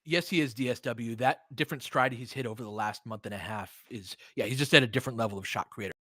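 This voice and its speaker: A man giving informal, partisan live basketball commentary as host, excitable, with whoops and casual swearing. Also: mid-range voice, fast, no echo, studio quality.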